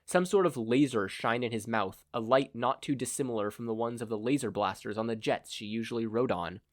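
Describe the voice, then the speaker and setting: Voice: medium pitch, a little fast, no room sound. Close, dry fan fiction narrator. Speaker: podfic narrator.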